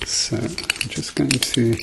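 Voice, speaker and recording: A man doing a soft-spoken, often whispered ASMR roleplay as a gentle, reassuring doctor with a dryly playful manner. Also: medium pitch, slow pace, gravelly, very noisy. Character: calm, reassuring male doctor treating a patient.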